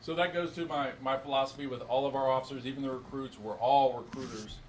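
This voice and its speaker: A man at mid pitch walks a room through slides at a press briefing, measured and earnest. He often restarts his sentences and fills pauses with "uh". Faint noise sits behind him. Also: steady tone, rough recording. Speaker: acting police chief.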